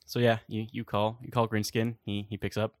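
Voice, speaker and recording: A man running a tabletop game who narrates in a relaxed, offhand way. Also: on the low side, brisk, dry recording, studio quality. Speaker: game master.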